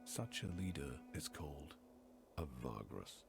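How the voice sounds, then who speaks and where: An actor playing a world-weary, brooding narrator of a ruined fantasy land in a video game intro, grave and theatrical, with hushed word endings. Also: male voice, a bass voice, animated, unhurried, vocal fry, noisy background, exaggerated voice. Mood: somber, brooding. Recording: loud music under the voice.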